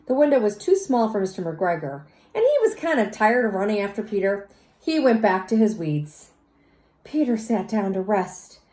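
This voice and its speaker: A woman reads a children's story aloud in an expressive, teacherly way, speaking as if to young listeners. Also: a little high. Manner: teacherly, pauses to question and comment. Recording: roomy, echoey sound.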